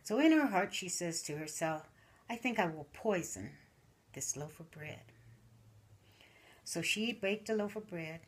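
Older woman telling a story, low voice. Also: breathy edge. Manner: slow, animated storytelling with voiced characters. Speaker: grandmother telling stories. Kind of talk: storytelling, folksy. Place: living room, indoors.

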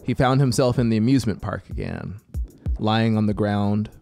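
A man narrates an audiobook in a low register with a gravelly, creaking edge. He takes his time, pausing for drama in a deliberate storytelling style. The voice is close on a studio mic, with soft music behind it. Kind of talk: scripted story narration over soundscape. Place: small home music studio, indoors. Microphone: close studio microphone with headphones. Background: atmospheric synth music.

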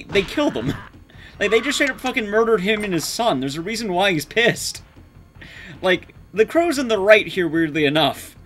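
A man's voice: high-pitched, a bit bright. A young man giving casual, wry gameplay commentary to his chat, informal and sweary. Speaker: streamer, speedrunner.

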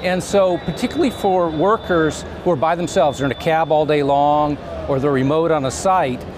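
A man in his fifties explaining things conversationally as an interview guest, in a measured, halting way with pauses. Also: a little high. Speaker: company CEO, interview guest. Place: trade show booth set, indoors. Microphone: lapel mic. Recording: clear background noise of busy trade show.

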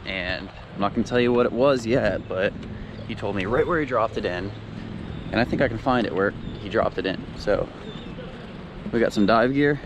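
A young man hosting a casual fishing vlog, talking excitedly to an action camera at a beach jetty. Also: fairly low pitch, normal speed. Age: young adult. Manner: excited, talks to camera while walking and wading. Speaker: fishing vlogger. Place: outdoors at a beach jetty, wind and surf. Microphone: action camera, often held close or head-mounted. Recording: outdoor wind and water noise.

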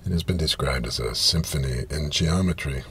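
Male reader, 50s, extremely low and creaky, deliberate halting delivery of literary prose, light ambient noise inside a car. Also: a bit bright. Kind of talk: literary reading of science fiction prose. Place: inside a parked car, small space.

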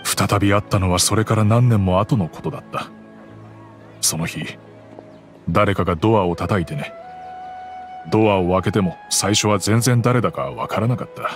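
An actor gives a kind middle-aged father with a lowish, steady voice his low register. He speaks in a calm, reflective way, full of quiet regret, as faint game music plays underneath. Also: moderate pace, long pauses, close to an everyday voice. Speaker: wealthy father recounting the past. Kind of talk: game cutscene voice acting.

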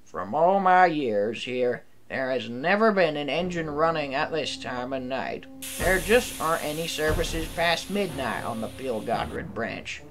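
A man voicing a knowing, long-serving storybook steam engine in a measured, serious way. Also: fairly high pitch, expressive, fairly bright tone. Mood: grave. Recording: music behind the voice.

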